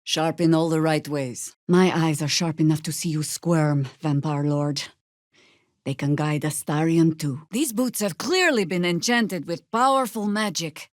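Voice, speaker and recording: Fantasy game druid veteran, female: world-weary, wry, very slow and measured, close dry sound. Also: sits low, fairly even intonation, dry recording. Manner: dry, sardonic, measured delivery. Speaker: video game voice actor. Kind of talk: scripted game character dialogue and spell calls.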